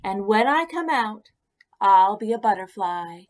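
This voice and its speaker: A woman's voice: medium pitch, animated, unhurried, lightly acted. A woman voicing a proud, boastful young female caterpillar in a storybook, speaking emphatically. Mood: bossy, proud. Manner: emphatic, bragging delivery.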